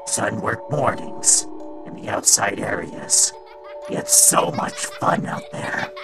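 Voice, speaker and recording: Gravelly, haunted robot caretaker. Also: medium pitch, animated, music in the background. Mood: sombre recollection. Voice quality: gravelly, breaking into whispers. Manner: halting, sombre confession. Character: haunted, guilt-ridden male robot daycare attendant. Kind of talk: fan comic dub, dramatic storytelling.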